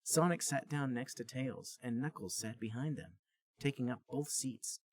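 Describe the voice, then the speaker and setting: A man's voice: medium pitch, moderate pace, slightly rough, dry recording. A man hosting a comedy podcast, narrating a story aloud in a plain storybook manner. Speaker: podcast host reading a story. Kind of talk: comic read-aloud narration.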